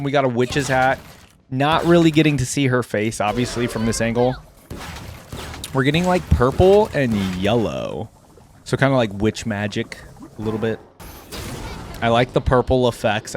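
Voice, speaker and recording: Casual young man, medium pitch. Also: slight background noise. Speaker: reaction video host. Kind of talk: casual reaction commentary.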